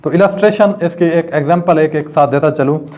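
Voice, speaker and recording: A man's voice: fairly high pitch, brisk, darkish tone. A man with a Pakistani accent lecturing as a law teacher, didactically reading out and explaining material, often posing questions and answering them himself.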